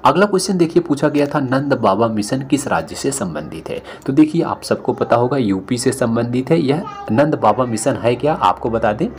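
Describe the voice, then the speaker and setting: A man's voice: medium pitch, expressive, moderate pace, hardly any pauses, a little mellow. A man explains exam questions one by one as a teacher, repeating questions and answers for emphasis. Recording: noticeable background noise.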